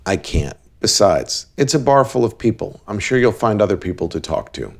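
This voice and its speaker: A man playing a blunt, deadpan cab driver who gives relationship advice in an animated series. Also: on the low side, normal speed, gravelly, full character voice. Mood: blunt, matter-of-fact.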